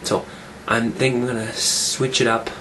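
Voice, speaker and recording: A man talking casually in a vlog, rambling and restarting his sentences, his voice rough from a cold. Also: mid-range voice, level tone, normal speed, pushed and bright, a little hiss or hum, low-quality recording. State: getting over a cold, rough voice. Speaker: fitness vlogger. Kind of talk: casual weekly update vlog.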